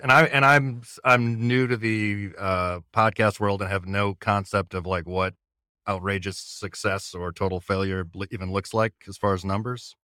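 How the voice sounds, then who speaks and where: Hesitant low-voiced man, dry recording. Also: steady tone, moderate pace, pushed and bright, smooth, no echo. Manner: hesitant, modest, understated. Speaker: interview guest, audio fiction podcast creator. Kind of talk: casual interview chat.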